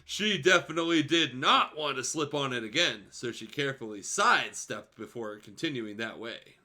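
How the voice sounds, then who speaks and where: Bright, animated man reading fan fiction. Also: medium pitch, normal speed. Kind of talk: dramatic story reading with joking asides.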